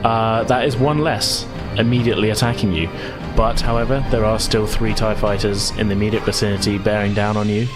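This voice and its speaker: Low-voiced man running a tabletop game over music. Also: fairly even intonation, a little fast, hardly any pauses. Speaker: game master and podcast host. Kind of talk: narrating a tabletop role-playing game.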